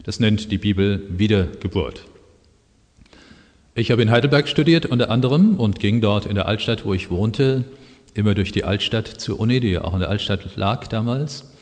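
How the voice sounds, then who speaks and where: Male preacher, lowish pitch, conversational explanatory sermon delivery, long hall reverb. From Germany. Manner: conversational, explanatory, pausing with fillers. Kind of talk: sermon with personal anecdotes and Bible quotations.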